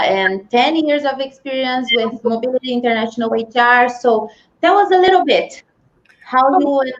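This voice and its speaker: A woman co-host, upbeat and laughing often while introducing a guest. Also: a little high. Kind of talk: live show hosting. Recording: echoey, dull video call.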